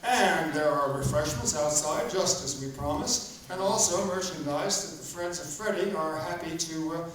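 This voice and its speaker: Guy hosting a live story show, higher voice, mic far away, noisy echoey room. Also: moderate pace, few pauses, fairly bright tone. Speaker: narrator and host. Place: live stage performance before an audience.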